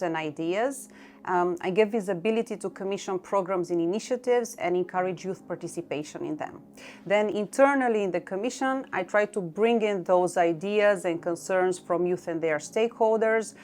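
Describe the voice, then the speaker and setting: A middle-aged woman from Bulgaria with a Bulgarian accent, explaining her work in a measured way and listing items one by one. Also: lowish voice, a little mellow. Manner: measured, lists items one by one with short pauses. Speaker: EU youth coordinator. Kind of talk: explanatory talk to camera. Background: faint background music.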